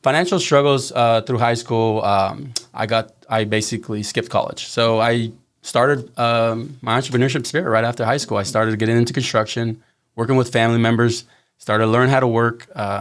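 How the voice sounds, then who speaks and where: A man's voice: medium pitch, steady tone, a little fast. A middle-aged man from Mexico with a slight Mexican Spanish accent, chatting as a guest in an interview and often restarting his sentences. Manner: rambling with restarts and repeated words. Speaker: guest, construction company owner. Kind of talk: casual interview.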